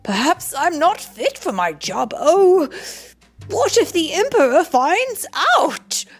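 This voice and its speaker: A woman voicing vain, anxious storybook men, an emperor and his flustered officials, in a theatrical, hesitant, drawn-out way. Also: fairly high pitch, sing-song, breathy edge, faint music behind, exaggerated voice. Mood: worried.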